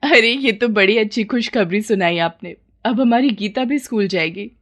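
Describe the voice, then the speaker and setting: A woman's voice: mid-range voice, moderate pace, a bit bright, natural voice. A woman playing an emotional, guilt-laden housewife and mother in a story, speaking earnestly. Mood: pleased.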